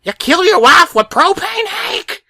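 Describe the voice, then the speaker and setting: A squeaky Texan cartoon character, accusing and pitched far above normal speech. The voice swoops, with a dry sound. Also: male voice, sing-song, measured pace, sharp tone, no echo, full character voice. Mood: shocked, accusing.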